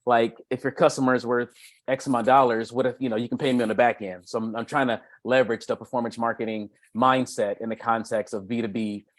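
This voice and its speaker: A middle-aged man speaks casually and unscripted about his marketing work. He rambles, doubling back on his phrases, in a medium-pitched voice with a dry, close sound. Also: flat delivery, fast, no echo. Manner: rambling, repeats and restarts phrases often. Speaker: B2B marketing consultant. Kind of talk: casual, unscripted self-introduction in a meeting.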